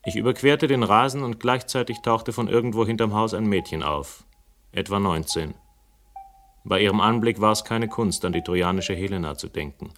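A world-weary male investigator for radio drama. His voice sits fairly low and his delivery is sardonic and philosophical, with a flirtatious, teasing edge. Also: moderate pace, fairly bright tone, slight background noise. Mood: reflective, literary. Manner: sardonic, literary, dryly teasing. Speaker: lead actor and first-person narrator. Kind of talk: German radio play acting and narration.

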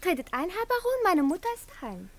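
A woman's voice: very high voice, huge pitch swings, brisk, heavily acted. An actress playing a naive, obedient young peasant girl in a radio drama, girlish, shy and deferential. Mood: eager, obedient. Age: young adult. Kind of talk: theatrical radio drama acting.

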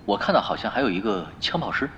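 A man's voice: fairly low pitch, big pitch swings, fast, highs cut off, background noise. A man playing a laid-back, shameless pro gamer in an audio drama, casual and teasing. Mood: sly. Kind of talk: Chinese audio drama acting.

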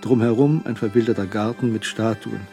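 An actor narrating a radio crime drama in a cool, sly, scripted manner. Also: male voice, on the low side, brisk, warm tone, close to an everyday voice. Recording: studio radio play with music.

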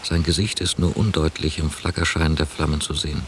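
An actor narrating a radio drama as a traveler, measured and matter-of-fact in the first person. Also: male voice, rumbling low, flat delivery. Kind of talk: scripted present-tense radio drama narration. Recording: noisy cassette tape of radio play.

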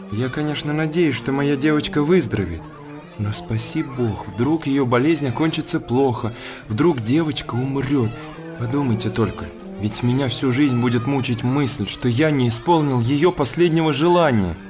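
A man's voice: medium pitch, moderate pace, lightly acted. An actor playing a devoted, anxious father in a radio play, speaking earnestly and tenderly. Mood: pleading. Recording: music under the voice.

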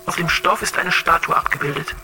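A woman's voice: on the low side, fairly even intonation, fairly quick, music in the background, natural voice. An actress playing a serene, clairvoyant female android in a radio drama, speaking with dreamy eloquence. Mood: detached, observant. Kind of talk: German radio drama acting.

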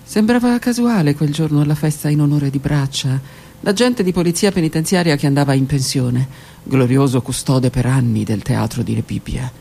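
A woman performing a dramatic first-person monologue in a deliberate, theatrical manner with weighted pauses. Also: low-pitched, vocal fry, breathy edge. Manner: theatrical, deliberate, with pauses and hushed near-whispered phrases. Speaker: theatre performer and prison drama workshop leader.

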